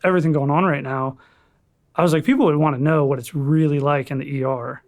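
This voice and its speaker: A young man with a somewhat high, gravelly voice talks as a podcast guest. He rambles in a loose, relaxed way and swears offhandedly. He works as a firefighter paramedic and grew up in Florida. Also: fast. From Florida, USA. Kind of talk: casual chat.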